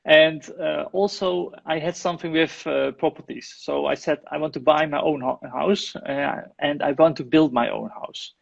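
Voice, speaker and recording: A man's voice: on the high side, fairly even intonation, normal speed, gravelly, few pauses, muffled recording. A Dutch man with a Dutch accent, speaking English as a guest in a live online interview, hesitant with many fillers and restarts, over a video call. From Netherlands. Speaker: guest, IT manager and property investor.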